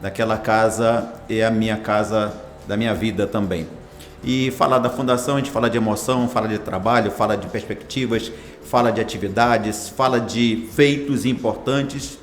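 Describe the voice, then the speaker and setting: A man's voice: lowish voice, fairly even intonation, moderate pace, echoey room. A man in his sixties, a hospital foundation director, answering interview questions in a formal, ceremonious way with long lists of phrases. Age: 60s.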